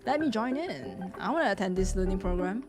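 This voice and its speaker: Expressive young woman streamer over game music. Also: mid-range voice, a little fast. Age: young adult. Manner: expressive, repeats phrases, chatty. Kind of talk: casual live game reaction and chat.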